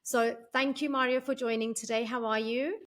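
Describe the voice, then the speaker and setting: A woman's voice: fairly high pitch, fairly even intonation, moderate pace, a bit bright. A woman hosting an interview podcast, welcoming her guest and asking questions with encouraging reactions. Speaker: podcast host.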